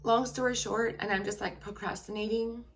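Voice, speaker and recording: A woman telling a personal story to her viewers. She speaks frankly and emphatically and keeps checking in with "okay?". Her voice is turning raspy after a long workday. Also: on the high side, fairly even intonation, normal speed, slight background noise.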